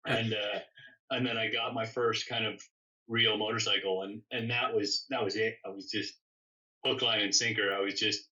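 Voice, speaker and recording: A man's voice: on the low side, fairly even intonation, moderate pace, bright, forceful tone. A man tells stories casually in a rambling, self-correcting way. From born in England, grew up in Canada. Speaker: interview guest, custom motorcycle builder. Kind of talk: casual conversational storytelling. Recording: dull, distant microphone sound.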